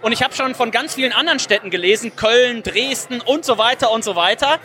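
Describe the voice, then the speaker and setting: A man's voice: very high voice, fairly quick, sharp tone. A man interviewing a guest, speaking fluently and asking long, enthusiastic questions.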